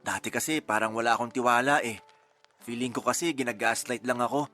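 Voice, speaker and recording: Man acting affectionate boyfriend, radio romance drama, gently teasing, slightly high bright voice, light music. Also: fairly even intonation, a little fast, close to an everyday voice. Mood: grateful, tender. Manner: affectionate, conversational.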